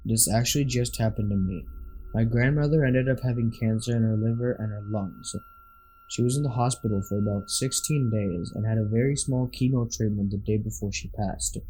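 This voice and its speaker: Male horror-story narrator, lowish and mellow, scripted read over background music. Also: normal speed, rough recording. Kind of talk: scripted narration of scary true stories.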